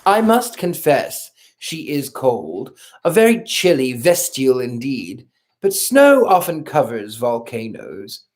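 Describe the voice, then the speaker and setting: A reader voicing a suave, sardonic gentleman who speaks in measured, ironic tones in a storybook reading. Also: medium pitch, very animated. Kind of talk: audiobook reading.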